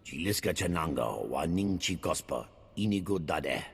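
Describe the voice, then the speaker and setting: A menacing alien from a space game, speaking an invented tongue. The voice is extremely deep and very rough, ponderous in delivery, with long reverb around it. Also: male voice, expressive, normal speed, full character voice. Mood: ominous. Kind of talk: video game dialogue in an invented alien language. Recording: long reverb, music underneath.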